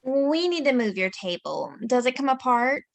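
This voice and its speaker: A woman's voice: high-pitched, sing-song, normal speed, gravelly, dry recording, exaggerated voice. An actress at a table read playing a bubbly young woman who loves to talk about her boyfriend and laughs often.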